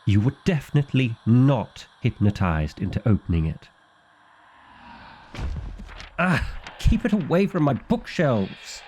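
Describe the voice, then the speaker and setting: A man's voice: medium pitch, sing-song, normal speed, a little mellow, a little hiss or hum, lightly acted. A man playing a fussy, exasperated vampire librarian in an ASMR roleplay, with an indignant, officious and dryly comic delivery. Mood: panicked, indignant.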